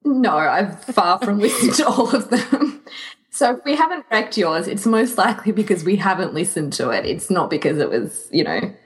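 Medium-pitched woman podcast co-host. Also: big pitch swings, moderate pace. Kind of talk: casual podcast chat.

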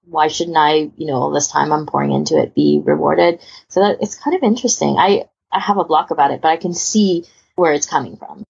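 A woman's voice: low-pitched, fairly quick, creaky, darkish tone, slightly rough. A woman hosting a casual panel chat in an informal, rambling, self-deprecating way, on a muffled recording. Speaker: podcast host.

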